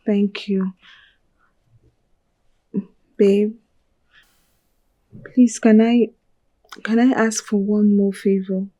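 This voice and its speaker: An actress playing a wife gently coaxing her husband into favors. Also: medium pitch, flat delivery, extremely slow, pauses often, no echo, rough recording.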